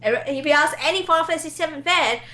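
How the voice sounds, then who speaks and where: A woman's voice: high voice, racing, sharp tone. A woman chatting casually about video games as a guest on a talk show. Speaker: guest, amateur voice actor.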